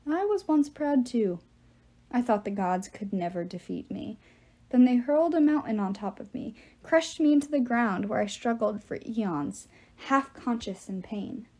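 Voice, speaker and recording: A woman voicing an ancient male giant in an audiobook, mocking, patient and menacing, with deliberate mock courtesy. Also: on the high side, lively intonation, mellow. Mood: bitter pride. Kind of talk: audiobook character voice.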